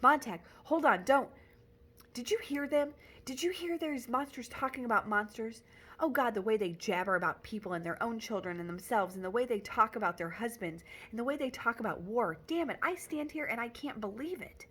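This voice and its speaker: A woman's voice: mid-range voice, normal speed, few pauses, fairly bright tone, natural voice. A woman voicing a tormented grown fireman who speaks in agitated bursts and rails at those around him. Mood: outraged. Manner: agitated, raised voice.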